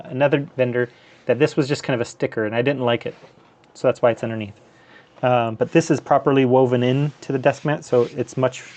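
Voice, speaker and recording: A man's voice: medium pitch, a little mellow. A man from the US and Canada, hosting a casual live gaming stream in a loose, rambling way with many fillers and restarts. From North America, US and Canada. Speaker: handheld gaming streamer, host. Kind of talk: casual live stream chat over gameplay.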